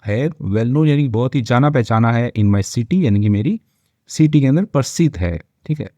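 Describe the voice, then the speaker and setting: A man's voice: mid-range voice, lively intonation, normal speed, mellow, dry recording. An Indian-accented man teaching English, reading a story line by line and explaining it in colloquial asides.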